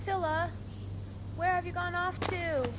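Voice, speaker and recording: A woman playing an anxious, excitable young witch girl in an audio drama, breathless and exclamatory. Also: very high-pitched, measured pace, a little hiss or hum. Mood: frantic, worried.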